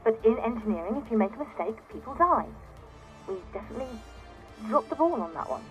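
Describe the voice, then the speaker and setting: Game audio log: self-righteous female founder, higher-pitched, exasperated and defensive, dulled radio sound with music underneath. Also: sing-song, quick pace, poor audio.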